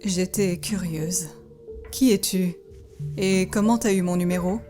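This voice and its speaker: A woman's voice: on the low side, steady tone, a little slow, music in the background, close to an everyday voice. A woman voicing an obsessive, lovestruck teenage schoolgirl in a French anime fan dub. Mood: suspicious, cool.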